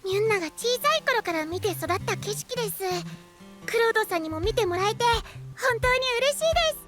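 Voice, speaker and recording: A man's voice: very high voice, animated, a little fast, bright, forceful tone, exaggerated voice. A man voicing a small, earnest boy knight in an anime-style audio drama, cute, polite and eager. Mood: cheerful, eager. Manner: cute, polite, bubbly, refers to self by name. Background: background music.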